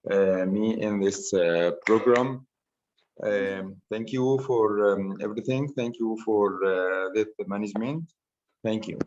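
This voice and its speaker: A man from Egypt, a researcher, giving a halting self-introduction in an online meeting. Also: on the low side, a little mellow. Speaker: researcher, training participant. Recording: dull online meeting audio.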